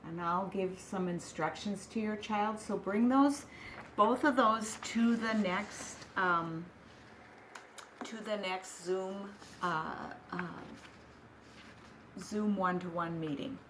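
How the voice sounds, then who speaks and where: A woman teaching young children over a video call, talking in a slow, patient, sing-song way. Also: mid-range voice, a bit bright, a little hiss or hum, rough recording. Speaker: preschool teacher.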